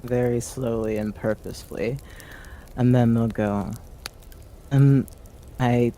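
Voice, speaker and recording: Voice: mid-range voice, little pitch movement, normal speed, mellow, a little hiss or hum, poor audio. A tabletop role-player giving casual, improvised narration of what their character does.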